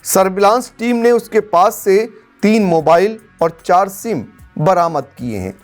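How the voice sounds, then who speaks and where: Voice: mid-range voice, animated, unhurried. News narrator over background music. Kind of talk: scripted crime news narration.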